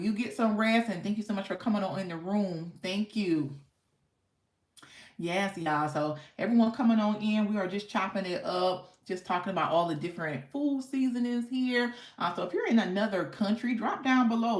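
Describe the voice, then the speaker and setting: An American woman hosting a casual livestream, chatting with viewers in an effusive, folksy way with repeated thanks and affirmations. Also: mid-range voice. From United States. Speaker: livestream host. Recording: echoey, roomy sound.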